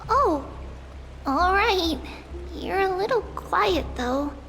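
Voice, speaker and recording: Very high, gentle girlish game guide. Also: animated, slow pace, a little hiss or hum, exaggerated voice. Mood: gentle, reassuring. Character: gentle caring girl-like guide, female, encouraging. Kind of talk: video game voice acting. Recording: long reverb, music behind.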